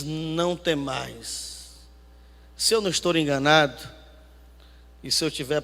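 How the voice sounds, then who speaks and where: A man's voice: a little high, bright, forceful tone. A man preaching as a pastor, emphatic and repeating phrases for weight. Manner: emphatic, repeats phrases for emphasis, swings between conversational and declamatory. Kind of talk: sermon. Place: church hall. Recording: echoey hall with long reverb.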